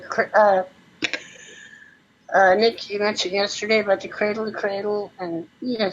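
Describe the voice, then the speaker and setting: A woman's voice: mid-range voice, a little hiss or hum. Woman in a group meeting, hesitant and stammering, muffled dull audio. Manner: hesitant, with stammered restarts and fillers. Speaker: group member. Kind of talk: casual group discussion.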